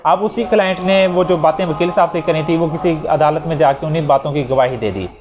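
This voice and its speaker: A man's voice: fairly high pitch, fairly quick. A man with a Pakistani accent gives an explanatory law lecture, reading out clauses and then repeating and rephrasing them in a didactic way. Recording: clearly noisy recording with ambient sound.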